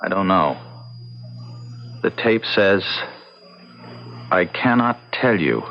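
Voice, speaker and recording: A man's voice: low-pitched, expressive, slow pace, heavily acted. An actor playing an intense, insistent young American man who tinkers with computers, earnest and emphatic, in an old-time radio drama. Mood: frustrated, determined. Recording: muffled vintage radio sound, faint noise.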